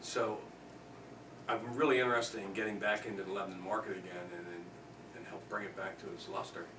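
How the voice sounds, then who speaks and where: A man's voice: fairly low pitch, flat delivery, a little fast. An American man from Pennsylvania, a builder explaining a proposal informally at a public meeting. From Pennsylvania, United States. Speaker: construction company owner presenting a proposal. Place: indoor public meeting room. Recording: distant, echoey, noisy meeting room recording.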